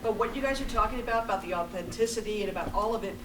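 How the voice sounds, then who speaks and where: A woman asking a rambling, informal question from the audience, effusive, halting and self-deprecating, picked up in a convention room. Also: mid-range voice, crowd noise. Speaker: audience member asking a question, acting teacher. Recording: distant, roomy, noisy hall pickup.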